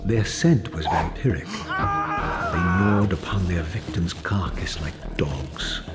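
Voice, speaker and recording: A man voicing a proud, vengeful undead vampire in a video game, brooding and bitter, with measured, grandiose, disdainful theatrical delivery. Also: low-pitched, wildly swooping pitch, loud music behind the voice, full character voice. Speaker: protagonist narrator. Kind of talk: dramatic scripted game narration.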